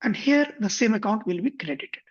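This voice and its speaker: A man teaching a software tutorial, walking through an example methodically and often correcting himself mid-sentence. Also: high-pitched, expressive, brisk. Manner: methodical, step-by-step, frequent self-corrections. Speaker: software instructor. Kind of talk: screen-share tutorial explanation. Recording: dull lo-fi recording.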